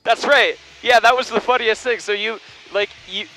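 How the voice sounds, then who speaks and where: A man's voice: high voice, fairly even intonation, moderate pace, bright, forceful tone, slight background noise, poor audio. A man hosting a casual, playful interview, speaking on a headset in a small plane. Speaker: interview host. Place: small plane cabin in flight.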